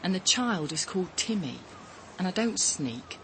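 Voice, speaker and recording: A woman's voice: lowish voice, very animated, moderate pace, a little hiss or hum. An actress playing a blunt, defiant young mother in a radio drama, colloquial and challenging, with a British accent.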